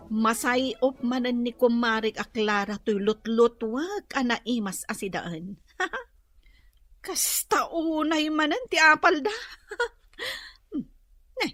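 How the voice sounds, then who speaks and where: Shrill, boastful Ilocano neighbor woman. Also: lively intonation, a bit bright, dry recording, faint music behind, exaggerated voice. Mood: gloating. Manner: taunting, drawn-out, showy. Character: boastful, mocking, stingy village neighbor woman flaunting her cooking. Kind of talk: Ilocano radio comedy drama acting.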